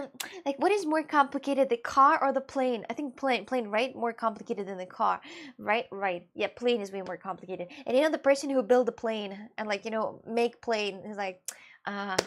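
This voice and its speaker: Giggly rambling woman. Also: a little high. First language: Russian. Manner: giggly, rambling, many fillers and restarts. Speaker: streamer chatting with viewers. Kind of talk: casual live-stream chat.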